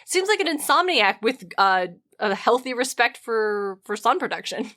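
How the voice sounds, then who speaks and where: A woman's voice: on the high side, normal speed. A woman co-hosting a podcast, chatting casually and jokily about mythology and pop culture, swearing now and then. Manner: animated, jokey, swears casually. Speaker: podcast co-host.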